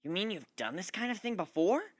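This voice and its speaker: A man's voice: high voice, sing-song, fairly quick, no echo, voice pushed up. A man voicing an easily annoyed female griffin with a brusque, snappish manner in a story reading. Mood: puzzled.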